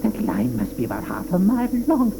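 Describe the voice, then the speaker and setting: A man's voice: sits high, huge pitch swings, quick pace, creaky, background noise, exaggerated voice. An actor playing an anxious, marvelling old grandfather who hesitates as he speaks. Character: fretful, wondering old grandfather. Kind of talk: 1980s radio drama acting.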